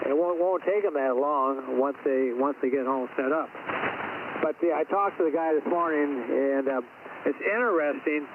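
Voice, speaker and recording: Guy rambling on ham radio, halting casual chat, thin phone-like sound w/ static. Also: on the high side. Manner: rambling, halting with repeated words. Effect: two-way radio transmission. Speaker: amateur radio operator.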